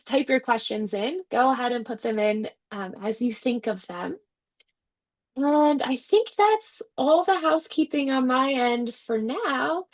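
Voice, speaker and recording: A woman moderating a webinar, making brisk, friendly housekeeping announcements before introducing the presenter. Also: high-pitched. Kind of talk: webinar hosting.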